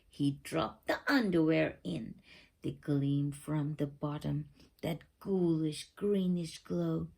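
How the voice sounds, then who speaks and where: A woman reads a picture book aloud with lively swings in pitch and an unhurried pace. She is expressive and suspenseful, drawing out key words, with a creaky edge to her voice. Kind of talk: animated children's read-aloud with dramatic whispers and questions.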